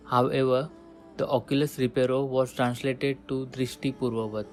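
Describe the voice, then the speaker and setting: A man in his thirties from India, speaking English with an Indian accent, narrating a scripted video essay in a measured, explanatory way. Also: mid-range voice, flat delivery, soft background music. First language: Hindi. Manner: measured, explanatory, announces sections.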